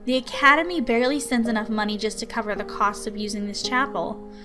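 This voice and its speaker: A woman's voice: on the high side, fast talker, close to an everyday voice. A woman voicing an exasperated, dutiful guardian in a visual novel, dry and scolding. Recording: music behind the voice.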